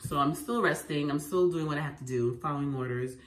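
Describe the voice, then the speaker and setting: A woman with a head cold, sounding congested, sharing home remedy tips in an animated, emphatic vlog at home. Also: low voice, brisk. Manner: animated and emphatic, repeating phrases. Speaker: lifestyle vlogger. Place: at home indoors. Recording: echoey room, faint noise.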